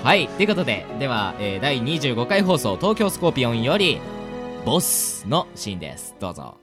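Man, co-host, very animated intonation, chatty, casual radio talk, loud music bed. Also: mid-range voice, brisk.

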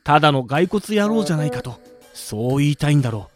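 A man's voice: a little high, very animated, brisk, over music. A man playing a pompous but easily cowed skeleton gym manager in a Japanese radio drama. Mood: stern, brooding. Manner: lecturing, self-deprecating, apologetic. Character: skeleton gym manager, pompous yet meek when scolded. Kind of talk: Japanese audio drama acting.

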